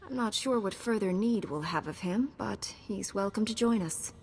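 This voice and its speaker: A woman's voice: mid-range voice, moderate pace, natural voice. A woman voicing a young, self-assured female Jedi knight in a video game, earnest and plainspoken. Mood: confident. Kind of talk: video game cutscene voice acting. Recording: loud music behind.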